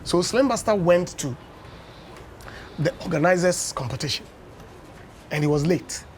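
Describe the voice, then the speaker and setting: A Ghanaian man in his fifties, a former dance champion, tells unhurried stories as a guest on a breakfast TV interview, often adding "you know.". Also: sits high, lively intonation, slight background noise. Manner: anecdotal storyteller, unhurried with many "you know"s. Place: television studio.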